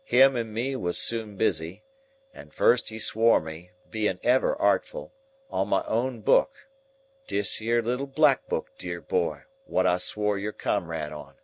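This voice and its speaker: A rough old convict, over sixty, telling his past in a broken, ungrammatical way. A male reader voices him low and very slow, giving each phrase plenty of weight. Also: expressive. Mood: grim, confiding. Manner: rough, ungrammatical dialect speech.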